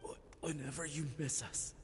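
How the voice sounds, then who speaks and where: A man's voice: fairly high pitch, steady tone, normal speed, darkish tone, faint music behind, natural voice. A man voicing a wounded, loving father in a game cutscene, tender and urgent.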